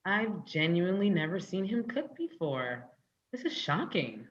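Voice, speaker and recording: Actress as sensible, blunt partner; lowish animated voice, comedy table read, slightly muffled recording. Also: female voice, unhurried. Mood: teasing, blunt. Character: dry, sensible, blunt live-in girlfriend. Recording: slightly dull, lo-fi sound.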